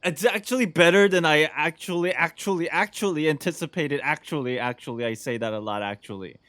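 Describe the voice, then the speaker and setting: A man's voice: a little high, brisk, a bit bright, no echo. A man giving casual, laid-back playthrough commentary as a gaming streamer, speaking close to the microphone. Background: faint game music.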